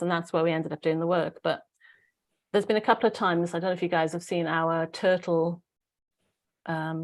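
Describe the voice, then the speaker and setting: A woman with a Welsh accent hosting a casual spiritual group discussion in an informal, rambling way. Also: lowish voice. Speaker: host.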